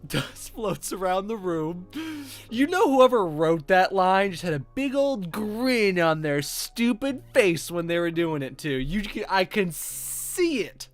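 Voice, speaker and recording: Male streamer, wry and sweary, very expressive read of visual novel narration with casual commentary, music underneath. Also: high voice, takes it slowly. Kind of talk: reading game text aloud with casual asides. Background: music with guitar.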